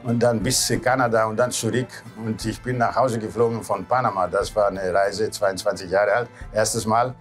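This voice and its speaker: A man's voice: on the low side, fairly even intonation, normal speed, hardly any pauses. A man in his sixties with a Greek accent reminisces casually in non-native speech with loose grammar, often adding short questioning tags. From Greece. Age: 60s. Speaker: cruise ship captain. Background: background music.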